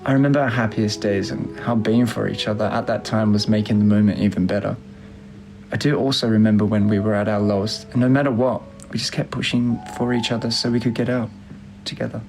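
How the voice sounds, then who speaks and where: Man playing a gravelly, heartbroken young man over music. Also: fairly low pitch, steady tone, brisk, lightly acted. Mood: tender, nostalgic. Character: heartbroken, nostalgic young man voicing unsent love letters. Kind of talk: intimate voice-over narration of letters in a film.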